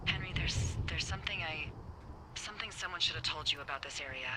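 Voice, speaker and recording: A woman's voice: deep voice, brisk, background noise, natural voice. A woman playing a wry, teasing fire lookout supervisor in naturalistic video game dialogue, playful and sarcastic. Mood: mock serious. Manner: playful, sarcastic, hesitant when earnest.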